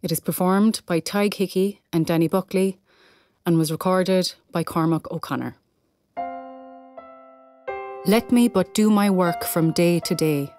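A woman with a fairly low voice reads a scripted introduction and verse. Music plays clearly behind her. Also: darkish tone. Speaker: announcer and poem reader. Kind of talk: scripted introduction and poetry reading.

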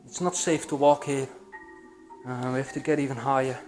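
A young Belgian man talks through his walk around an abandoned building, sometimes excited and sometimes hushed, with lots of "um" and "uh". His voice sits mid-range and has a faint bed of music under it. The sound comes from an action camera he carries. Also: medium pitch, normal speed. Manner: excited, hushed, with frequent fillers. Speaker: urban explorer vlogger. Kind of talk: casual vlog narration. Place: abandoned building, echoey halls and attic. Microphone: action camera, handheld or body-worn.

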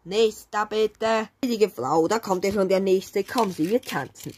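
A woman's voice: measured pace, a little mellow, breathy edge. A woman voicing a formal, courtly male hotel owner in a toy-play story. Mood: polite. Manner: low, formal, polite.

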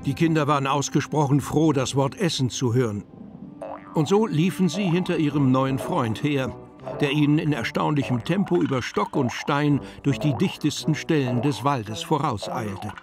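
A man narrating a children's story from a script as part of an audio drama. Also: medium pitch. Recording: music under the voice.

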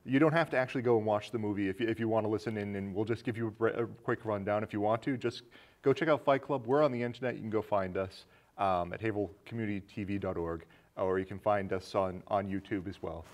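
A man's voice: lowish voice, quick pace. A man in his thirties with an American accent, hosting a casual film discussion in a studio. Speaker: talk show host. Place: small indoor studio set with curtains.

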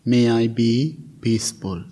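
A man leading a guided meditation as a teacher, speaking gently and soothingly in short, spaced phrases. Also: mid-range voice, steady tone, a little slow. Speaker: meditation teacher, Buddhist monk.

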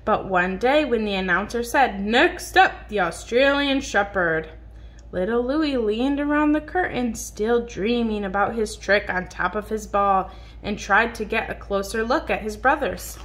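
A woman reading a picture book aloud to a young child in an expressive, child-directed way, drawing out words. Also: mid-range voice, unhurried. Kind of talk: read-aloud storytelling to a young child, with simple questions. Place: indoors at home on a dark wood floor. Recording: noisy home phone recording.

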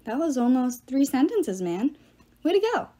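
A woman voicing a wisecracking, cheeky teenage boy hero in an audiobook, with a lively, sarcastic delivery. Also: high-pitched, moderate pace. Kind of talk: audiobook character voice.

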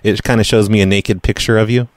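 A man making a casual prank phone call in a deadpan, hesitant manner, rambling and repeating himself as he goes. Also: on the low side, quick pace, no echo. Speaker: prank caller, show host.

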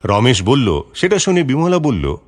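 A man's voice: mid-range voice, wildly swooping pitch, measured pace. A man narrating a scripted moral story for children. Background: background music.

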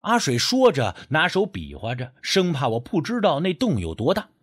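A man's voice: a little high, huge pitch swings, normal speed, husky, heavily acted. A man playing a skeptical, sardonic first-person narrator of a folk ghost story audiobook, acting out dialogue. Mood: measured, wry.

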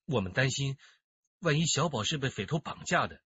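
Worried, deferential grown son, hoarse-edged hesitant delivery, dull radio-drama recording. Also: male voice, mid-range voice, expressive, brisk, fairly bright tone. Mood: anxious, hesitant. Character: anxious, timid grown son reporting to mother.

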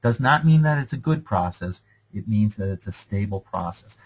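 A man's voice: fairly low pitch, animated, normal speed, breathy edge, low-quality recording. A man lecturing over slides in a conversational, explanatory way, often checking in with "okay?".

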